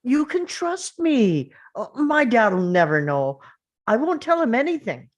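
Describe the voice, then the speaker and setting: Woman playing a shy, lively-pitched young teen boy. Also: unhurried. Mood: earnest, nervous. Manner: hesitant, earnest. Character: awkward, earnest, shy young teenage boy. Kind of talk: table read of a screenplay.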